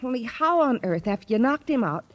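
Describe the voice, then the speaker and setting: 50s radio detective's secretary, highish bouncy voice, coy, flirty, eager. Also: female voice, big pitch swings, a little fast, highs cut off. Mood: eager, puzzled. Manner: flirtatious, pressing, playful. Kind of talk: 1950s radio drama acting.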